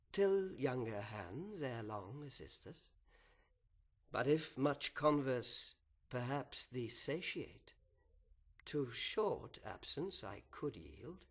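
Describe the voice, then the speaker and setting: Tender cautious husband, unhurried delivery, mid-pitched, earnest, dramatic poetry reading. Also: male voice, breathy edge. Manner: measured, gentle, earnest. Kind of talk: slow dramatic verse reading.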